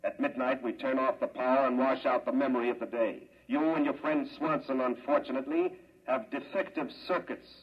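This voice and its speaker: A man playing a calm, smug scientist mastermind in 1950s radio drama, speaking with urbane, matter-of-fact condescension. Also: mid-range voice, a little fast, breathy edge, close to an everyday voice. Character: calm, smug male mastermind scientist running a cruel experiment. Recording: muffled vintage radio recording.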